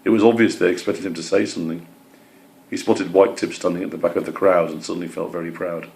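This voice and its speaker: A man's voice: low-pitched, steady tone, quick pace, gravelly, a little mellow. A man narrating a children's storybook as its reader.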